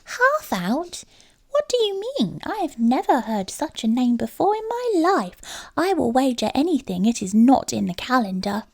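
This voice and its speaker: A woman's voice: sits high, big pitch swings, normal speed, creaky, a little mellow, pitched above the performer's own voice, full character voice. A woman voicing a small, trusting but questioning female mouse in a storybook, prim and wordy in manner. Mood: puzzled, suspicious. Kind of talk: storybook character voice.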